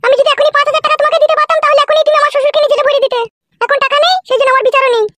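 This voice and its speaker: A woman's voice: very high voice, steady tone, very fast, a bit bright, no room sound. A woman voicing a pushy, indignant young village widow in a comedy cartoon, complaining insistently. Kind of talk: Bengali comedy cartoon dubbing.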